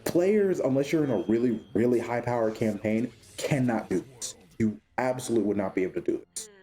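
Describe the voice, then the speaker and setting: A man's voice: mid-range voice, lively intonation, a little fast, warm tone, slightly rough, faint music behind. A man narrating a casual tabletop game guide from notes, offhand and sweary, tripping over hard words. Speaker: host of a tabletop game character build guide.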